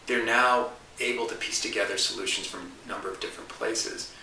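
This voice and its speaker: A man in his forties with a fairly low but bright, forceful voice answers interview questions at length. He talks thoughtfully, restarting phrases and rising for emphasis. He sounds set back from the microphone in an echoey room, over a faint hiss. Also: fairly even intonation, brisk. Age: 40s. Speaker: interview guest, marketing consultant. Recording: roomy, distant mic, faint hiss.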